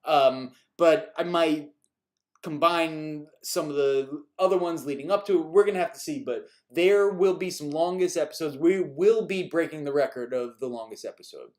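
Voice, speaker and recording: A man's voice: a little high, moderate pace. A man from New York chatting casually on a live stream, answering viewer questions in a rambling, chuckling way, a bit exasperated at repeat questions.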